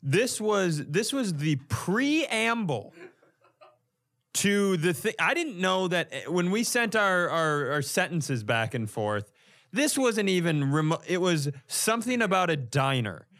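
Male co-host, fairly high pitch, very animated intonation, playful comic banter. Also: slow. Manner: playful, interjecting with questions and quips. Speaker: comedy podcast co-host.

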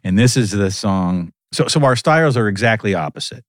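A man hosting a casual conversation, animated and laughing often. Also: fairly low pitch, fairly quick, no room sound, clean studio sound.

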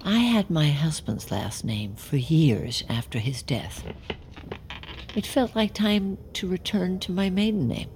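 A woman's voice: deep voice, big pitch swings, measured pace, darkish tone, slight background noise, heavily acted. A woman playing a careful, guarded new office employee in an audio drama, answering in a hesitant, formal, evasive way.

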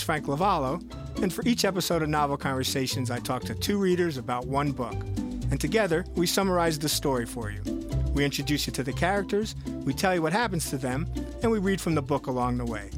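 A man hosting a podcast, reading a scripted promo that introduces the show to listeners. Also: a little high. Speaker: podcast host. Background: music.

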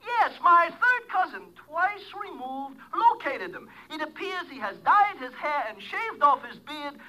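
A sly, wheedling old bell merchant. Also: male voice, very high-pitched, sing-song, a little slow, sharp tone, heavily acted, rough recording. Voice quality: wheedling, florid, foreign-sounding. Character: sly old male Cairo shopkeeper peddling cracked bells. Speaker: informant. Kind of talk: 1950s radio drama acting.

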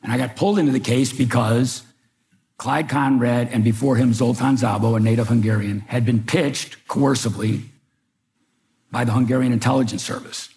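An older man from Chicago, a former agent, telling his own story in wry, halting fashion at a public talk. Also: mid-range voice, steady tone, darkish tone. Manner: wry storytelling with hesitant restarts. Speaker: panel speaker, former FBI agent. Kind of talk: public talk, personal recollection. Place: museum event room.

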